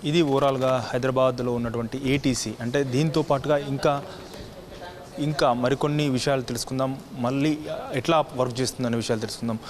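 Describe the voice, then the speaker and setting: A male reporter with a medium-pitched voice and an Indian accent conducts an on-location news interview. He asks explanatory questions and steers the talk along. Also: fairly even intonation, a little fast, crowd noise.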